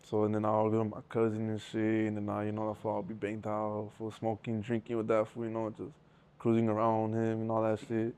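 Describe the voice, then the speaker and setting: A man's voice: fairly low pitch, completely flat delivery, brisk, darkish tone, smooth. A young man from Southern California telling stories in a rambling, profane way full of street slang. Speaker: interview guest. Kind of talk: casual storytelling with heavy slang.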